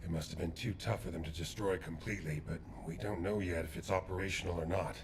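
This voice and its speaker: A very deep-voiced calm soldier. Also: male voice, quick pace, gravelly, noisy background. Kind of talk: video game dialogue.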